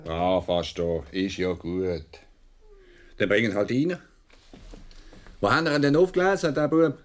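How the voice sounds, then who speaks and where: Radio play casting: male actor as dry, gruff Swiss cop, lowish voice, terse delivery. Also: measured pace, lightly acted. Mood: dry, curious. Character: gruff, dry-humoured male Swiss policeman questioning a child.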